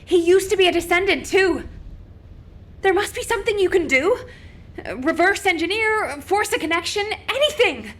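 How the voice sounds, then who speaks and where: A woman's voice: very high voice, animated, normal speed, a bit bright. A woman voicing an earnest, devoted young officer who speaks with emotion. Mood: pleading. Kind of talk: game cutscene dialogue.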